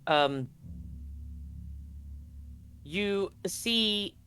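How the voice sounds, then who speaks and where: A man narrating a tabletop game as game master, improvising haltingly and repeating words as he goes. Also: high voice, expressive, sharp tone, soft background music.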